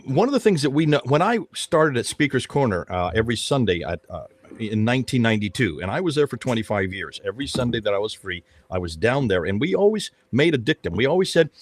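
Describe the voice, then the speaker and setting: Emphatic middle-aged man, lively pitch. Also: dry recording. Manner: emphatic, repeats phrases, restarts often. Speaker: host of a panel discussion, street preacher. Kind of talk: animated casual panel talk.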